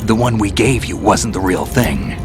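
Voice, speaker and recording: An actor playing a grizzled veteran soldier who calmly advises a younger man in a video game cutscene, speaking tersely and steadily. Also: fairly low pitch, normal speed, natural voice. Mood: steady. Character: grizzled male veteran soldier, calm mentor. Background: loud orchestral music.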